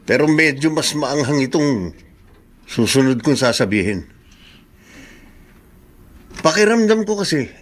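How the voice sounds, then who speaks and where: A man's voice: fairly high pitch, a bit bright. A man acting a frank, concerned best friend in a Filipino radio drama, questioning bluntly but carefully.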